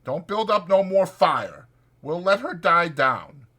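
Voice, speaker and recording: A man performs a wiry, bossy drifter in an audiobook story. The voice is lifted and lively, with brisk, insistent, folksy talk and an irritable, protective edge. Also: high-pitched, voice pushed up, exaggerated voice. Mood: instructive, stern. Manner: brisk, insistent, colloquial delivery. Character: small, sharp, irritable male ranch drifter, bossy yet protective.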